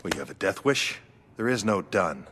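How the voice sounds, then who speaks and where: A cold loyal enforcer in a game, lowish voice, curt and blunt. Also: male voice, very animated, normal speed, fairly bright tone, a little hiss or hum. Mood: threatening. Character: hard, unrepentant enforcer superhero man. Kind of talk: video game cutscene acting.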